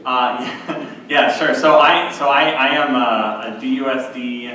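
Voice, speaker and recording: A man's voice: mid-range voice, little pitch movement, unhurried, sharp tone. A man in his forties, a school board member, speaking hesitantly with many fillers at a public meeting. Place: meeting room. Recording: echoey room, distant mic, faint hiss.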